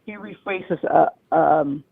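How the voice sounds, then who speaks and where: A woman's voice: sits low, slow pace, creaky, a little hiss or hum. Rambling woman caller on a phone line. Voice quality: loud voice. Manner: rambling, agitated storytelling. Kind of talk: call-in show phone call.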